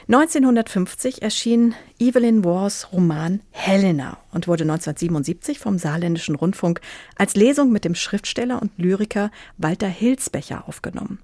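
A woman's voice: medium pitch, a little fast, dark tone, dry recording. A woman working as a radio presenter, reading scripted introductions and putting questions into a close studio microphone.

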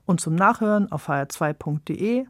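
A woman's voice: lowish voice, animated, a little fast, dry recording, clean studio sound. A woman presenting a radio programme with a polished scripted delivery.

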